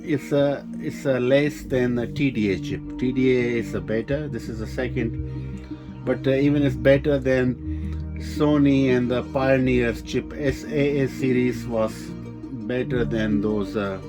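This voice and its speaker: A man giving a casual, hands-on product demonstration, explaining things informally in a halting, hesitant way. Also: medium pitch, music in the background. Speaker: presenter of a vintage audio gear demo.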